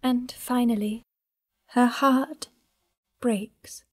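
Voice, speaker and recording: An actress playing a wry, knowing woman who comments deliberately in an audio drama. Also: a little high, fairly even intonation, no room sound, studio quality.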